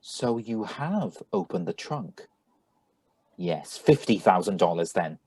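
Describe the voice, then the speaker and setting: A man's voice: low-pitched, lively intonation, slow, darkish tone, slightly rough, no room sound, full character voice. A man playing a shady, insistent little stranger in a radio play reading, formal and courteous with menace underneath. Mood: urgent, pleading.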